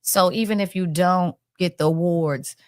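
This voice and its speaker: A woman's voice: on the low side, measured pace, dry recording, clean studio sound. An American woman hosting a casual community talk show, emphatic and informal, often addressing her audience directly.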